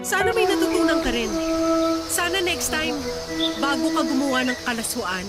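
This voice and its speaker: Betrayed wife, radio drama: high voice, reproachful and emotional, loud music underneath. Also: female voice, animated, moderate pace. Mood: stern, bitter. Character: hurt, unforgiving betrayed wife and mother. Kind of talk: Filipino radio drama acting.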